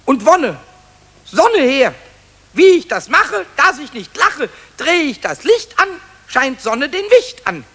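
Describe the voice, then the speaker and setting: Tipsy little kobold, children's radio play: high, unhurried, cheeky, excitable, sing-song. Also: male voice, exaggerated voice.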